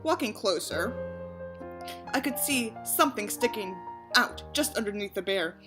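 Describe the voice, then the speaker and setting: A woman's voice: mid-range voice, animated, a little slow, over music. A woman reading a story aloud as a narrator, breaking in with mocking, sarcastic asides and casual swearing. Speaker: audiobook reader and commentator.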